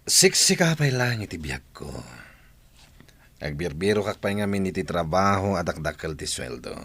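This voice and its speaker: A man playing a sly, smooth-talking lover in a radio drama. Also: deep voice, very animated, moderate pace, a bit bright, dry recording, exaggerated voice.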